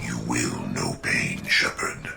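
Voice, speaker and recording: Monstrously deep, gravelly alien overlord. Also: male voice, very animated, full character voice. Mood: cold menace. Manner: slow, commanding, threatening. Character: menacing alien overlord possessing a soldier, cold and domineering. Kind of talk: video game villain acting. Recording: echoing, under loud game music.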